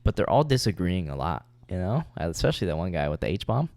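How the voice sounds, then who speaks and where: Low-voiced laid-back podcast co-host man. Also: very animated, fast talker, a little mellow, no room sound. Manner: laid-back, swears freely, trails off with "like". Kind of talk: casual chat.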